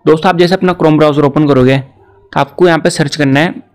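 A man's voice: a little high, steady tone, brisk. A young man presenting a tech tutorial as an online video host. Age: young adult. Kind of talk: tech tutorial to camera and screen.

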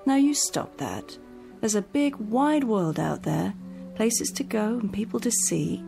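A woman's voice: medium pitch, sing-song, measured pace, creaky, dark tone, soft background music. An actress playing a caring, encouraging woman home helper in a radio drama, coaxing and reassuring.

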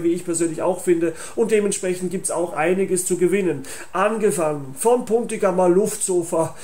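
A man's voice: high-pitched, mellow. A young man, hosting an online channel and making an informal promotional announcement to viewers. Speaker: YouTube channel host and tournament organiser.

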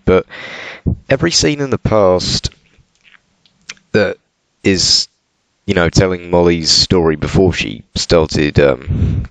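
A man's voice: extremely low pitch, fairly bright tone, no echo, rough recording. A British man giving a casual film review, conversational and sardonic, with joking asides. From United Kingdom.

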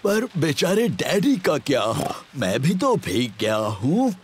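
A man's voice: a little high, wildly swooping pitch, slow pace, slight background noise, exaggerated voice. A man voicing a big, confident, bumbling father pig in a jovial, theatrical children's cartoon dub. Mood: mock grumbling. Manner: jovial, self-assured, theatrical. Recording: music behind the voice.

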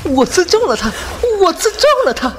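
A man's voice: very high voice, very animated, brisk. A man voicing a desperate pleading young man. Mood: frantic. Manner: pleading, raised and strained. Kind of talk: fan audio drama acting. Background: loud background music.

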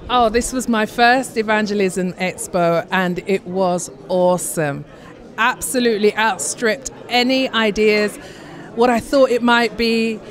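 A woman's voice: medium pitch, measured pace. A woman attendee giving an effusive, casual interview response in a large hall. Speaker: event attendee.

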